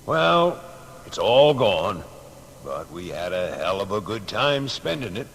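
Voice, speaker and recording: A self-assured, folksy inventor in an audio drama. The voice is very low and swings expressively, with wry, plain-spoken phrasing. It rings in a long hall reverb over some noise. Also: male voice, very animated, slow pace, vocal fry, a bit bright, slightly rough, heavily acted. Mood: cheerfully resigned. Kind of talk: dramatised audio biography acting.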